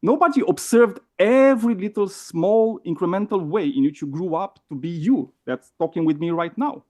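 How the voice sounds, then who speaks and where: Man, Romanian accent, debater, animated, repeats words, slightly high voice. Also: normal speed. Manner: animated, repeats words, keeps asking "right?". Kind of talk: heated debate.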